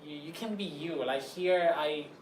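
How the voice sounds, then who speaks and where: A man's voice: a little high, a little slow. Puerto Rican man, distant and roomy. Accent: Puerto Rican Spanish. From Puerto Rico. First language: Spanish. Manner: thoughtful, searching for English words. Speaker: interviewee. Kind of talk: casual interview answers. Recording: roomy, distant mic, background noise.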